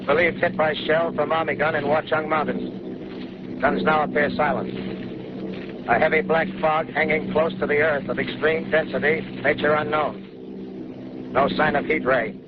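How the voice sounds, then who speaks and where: An actor playing a grim bomber pilot. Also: male voice, on the high side, level tone, measured pace, lightly acted. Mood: terse. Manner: clipped terse military report, growing frantic. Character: male bomber pilot lieutenant, grim, doomed. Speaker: army pilot on radio. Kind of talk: 1930s radio drama acting. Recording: dull 1930s radio broadcast, loud hiss.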